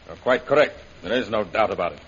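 A man's voice: lowish voice, lively intonation, fast talker, fairly bright tone, breathy edge. A man playing an arrogant, cruel, domineering villain in a 1930s radio serial, with a sneering theatrical delivery. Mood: smug menace. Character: arrogant, menacing male revolutionist villain, cruel and gloating. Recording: muffled, lo-fi old radio recording.

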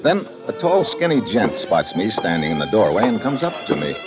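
An actor playing a wisecracking, hard-boiled American private investigator in an old-time radio drama, speaking in a wry, clipped, slangy way. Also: male voice, fairly low pitch, very animated, a little fast. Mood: wry narration. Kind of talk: old-time radio detective acting and first-person narration. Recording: muffled old radio recording with music.

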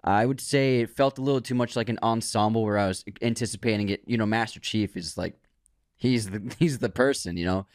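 A man co-hosting a podcast, chatting casually and giving animated opinions, often restarting words. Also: on the low side, brisk, no echo, studio quality. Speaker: podcast co-host. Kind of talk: casual chat.

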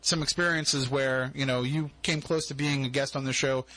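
A man's voice: medium pitch, steady tone, normal speed, fairly bright tone, poor audio. A male podcast host in a studio, asking long, reflective questions of a guest. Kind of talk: interviewing a guest.

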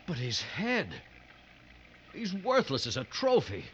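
An actor playing a nervous, eager novice trophy hunter in a mid-century radio drama, with a hesitant, excitable delivery. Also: male voice, high voice, slow pace, heavily acted. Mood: subdued, eager. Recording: dull old radio recording, noisy.

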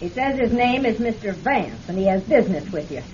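A woman's voice: medium pitch, animated, fairly quick, natural voice. An actress playing a curt, sardonic boarding house landlady in an old-time radio drama, brusque and dry. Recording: dull old radio recording, loud noise.

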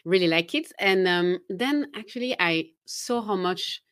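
A woman's voice: fairly low pitch, lively intonation, a little slow, a bit bright, clear, resonant tone, dry recording. Swiss French woman, very dry sound. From Geneva, Switzerland. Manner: chatty, laughs often, restarts sentences. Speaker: confidence coach guest. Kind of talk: casual interview chat.

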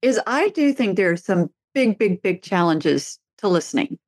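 A woman's voice: medium pitch, sing-song, moderate pace, no echo. Chatty middle-aged woman, dry sound. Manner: chatty storyteller, laughs easily. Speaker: podcast host, therapist and author. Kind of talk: casual conversation.

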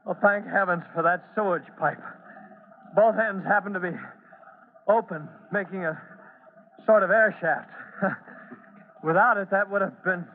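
An actor playing a plucky, polite young rookie fireman in an old radio serial, halting and boyish. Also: high-pitched. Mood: shaken, cheerful. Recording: tinny, noisy old radio recording.

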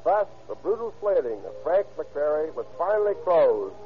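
A man with a fairly high voice narrates a crime case in a formal, deliberate 1930s radio style. Music plays behind him, and the recording is dull and lo-fi. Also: very animated.

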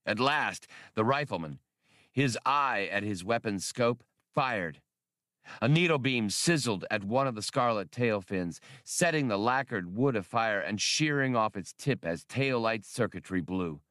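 Lively male audiobook narrator. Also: on the low side, measured pace, no room sound, studio quality. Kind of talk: scripted dramatic narration of an adventure novel.

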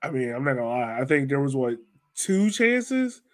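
A man's voice: mid-range voice, big pitch swings, normal speed. A man talking casually as a soccer podcast host, informal and thinking aloud as he goes. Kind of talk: casual soccer post-match discussion.

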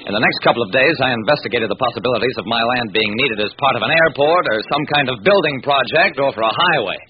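A man's voice: medium pitch, lively intonation, quick pace, natural voice. A man playing a wisecracking, stubborn private detective, narrating his own case in an old-time radio drama. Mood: wry narrating. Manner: wry, punchy storytelling. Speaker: narrator and lead character. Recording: noisy, dull old radio recording.

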